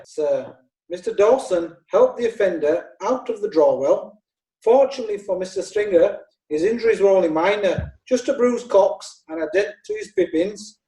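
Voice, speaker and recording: An actor playing a pompous prosecuting lawyer in a radio play, speaking with formal, theatrical courtroom oratory. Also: male voice, high-pitched, dark tone, roomy sound, recorded from a distance, poor audio. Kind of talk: radio drama acting.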